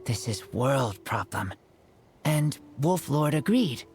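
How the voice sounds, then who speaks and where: Wolf boy from a game, broken short words, slow talker, lively pitch. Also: exaggerated voice. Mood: resolute. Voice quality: clipped broken speech, slow and gruff. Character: wild young man raised by wolves, terse. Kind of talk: game character dialogue.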